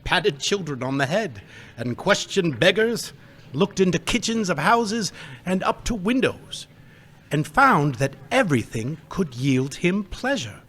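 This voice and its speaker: A man narrating a live stage radio play in a measured storytelling way. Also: high-pitched, sing-song, fairly bright tone, husky.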